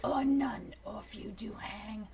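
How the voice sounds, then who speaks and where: A woman's voice: mid-range voice, takes it slowly, a crowd in the background. A woman co-host in a casual group reading, moving between chat and verse recital.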